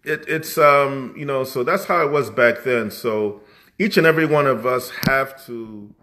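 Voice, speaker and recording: A man's voice: medium pitch, moderate pace. Man, rambling. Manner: rambling, animated, many restarts and fillers. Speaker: musician guest. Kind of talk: casual conversational interview.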